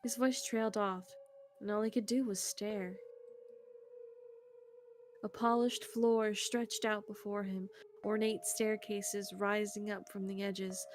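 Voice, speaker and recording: A woman's voice: medium pitch, flat delivery, slow pace, long pauses, a little hiss or hum. A woman co-hosting a visual novel stream, reading narration aloud with casual, sweary asides. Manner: informal, sweary.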